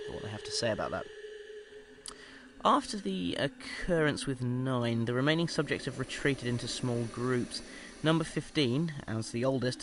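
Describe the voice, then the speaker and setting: An actor playing a measured research scientist who dictates an experiment log in a formal, clinical manner, with hesitant pauses, in an audio drama. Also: male voice, mid-range voice, noisy background, close to an everyday voice.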